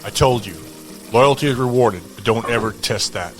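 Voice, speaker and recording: A man's voice: medium pitch, moderate pace, faint music behind, lightly acted. An actor playing a curt, commanding man who demands loyalty, blunt and authoritative, in an audio drama. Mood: cold, controlling.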